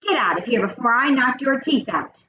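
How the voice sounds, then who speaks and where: A woman's voice: on the high side, lively intonation, normal speed, bright, forceful tone, echoey room, voice pushed up. A woman voicing a confident, blunt little schoolgirl from a storybook, direct and matter-of-fact. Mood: threatening. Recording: muffled lo-fi with faint noise.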